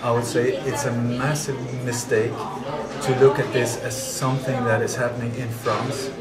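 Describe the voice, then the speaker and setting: A man's voice: mid-range voice, little pitch movement, normal speed, loud music behind the voice. A man who grew up in Denmark and Sweden, giving an earnest, emphatic interview at a conference and repeating his key words. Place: conference venue indoors. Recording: echoey conference venue with crowd. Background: loud crowd chatter.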